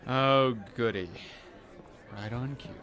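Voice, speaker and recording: Drawling, drunken rich playboy. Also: male voice, mid-range voice, fairly even intonation, slow, a bit bright, highs cut off, some chatter behind. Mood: tipsy, flippant. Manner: theatrical, languid, mock-posh drawl. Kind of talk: radio drama acting.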